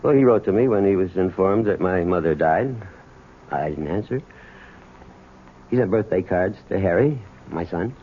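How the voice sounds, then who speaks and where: An actor playing the bitter, unyielding son of an imprisoned robber in an old-time radio drama. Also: male voice, sits low, animated, normal speed, pauses often, phone-quality sound. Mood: reluctant, strained. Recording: thin, noisy old radio broadcast.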